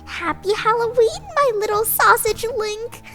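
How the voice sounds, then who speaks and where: A woman's voice: very high voice, a little slow, a bit bright, soft background music, pitched above the performer's own voice. A woman voicing a doting mother dog who coos fondly at her son. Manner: cooing, affectionate.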